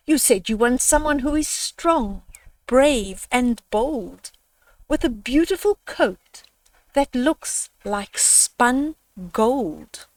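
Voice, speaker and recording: A woman voicing a wise old owl in a children's storybook, lecturing the animals in a measured, authoritative way. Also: sits high, animated, no echo, far from the mic, exaggerated voice. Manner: measured, instructive, rhetorical. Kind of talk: children's storybook read-aloud.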